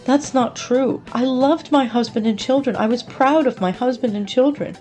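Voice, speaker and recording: A woman's voice: high-pitched, normal speed, mellow, faint music behind, pitched above the performer's own voice, natural voice. A woman voicing an anxious, tearful, self-doubting grandmother with a plaintive manner in a story reading. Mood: defensive. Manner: plaintive, whining.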